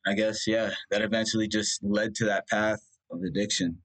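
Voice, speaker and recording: A young man from India, Punjabi his first language, talks as a guest in a rambling, searching way, leaning on "like" and starting over often. His voice sits a little low. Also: little pitch movement, normal speed, a bit bright, poor audio. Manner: rambling, full of "like" and restarts. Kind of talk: reflective personal conversation.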